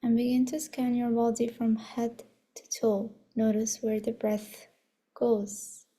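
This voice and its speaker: Female meditation guide, slightly high but very even pitch, soft and breathy at times, soothing, gently instructive, never hurried. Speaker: meditation guide leading a body scan.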